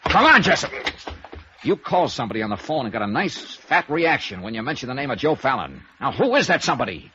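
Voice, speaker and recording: A tough, wry American private investigator of 1950s radio. He is a man with a swooping, animated delivery, clipped and sardonic. The vintage recording sounds muffled. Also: mid-range voice, quick pace, a little hiss or hum. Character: cool, wry, hard-boiled freelance insurance investigator. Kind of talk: 1950s radio noir narration and acting.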